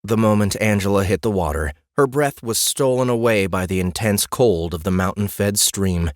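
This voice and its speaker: Low-pitched man narrating a fantasy audiobook. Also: normal speed, no echo, studio quality.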